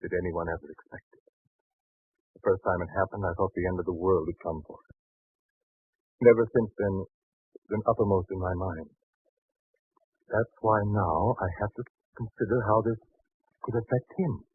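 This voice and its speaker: A husky, worried merchant father. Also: low-pitched, normal speed, pauses often, no room sound. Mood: sorrowful. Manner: hesitant, earnest. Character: cautious, worried male merchant and anxious father. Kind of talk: 1940s radio drama acting. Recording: tinny, band-limited 1940s radio broadcast.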